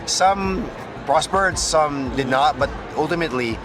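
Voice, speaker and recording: A man's voice: fairly high pitch, a little slow, low-quality recording. Enthusiastic podcast host guy, lots of mm's, music playing behind. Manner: effusive, frequent "mm" affirmations and laughs. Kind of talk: enthusiastic interview hosting. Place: conference booth.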